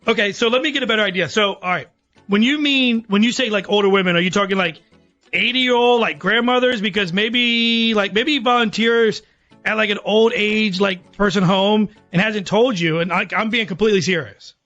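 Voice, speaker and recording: High, bright-voiced male radio host. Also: fairly quick, highs cut off, soft background music. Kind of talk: upbeat radio talk.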